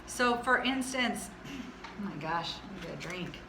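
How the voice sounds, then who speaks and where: Woman choir teacher, naturally low speaking voice, talking slowly through warm-ups in a roomy echo. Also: expressive, heavy background noise. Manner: chatty, demonstrates belting and singing. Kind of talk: vocal warm-up lesson with sung demonstrations.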